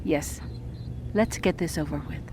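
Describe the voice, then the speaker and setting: A woman's voice: sits low, unhurried. A woman playing a nervous, trusting wife facing surgery in an audio drama. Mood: nervous, resigned. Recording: clearly noisy audio drama track.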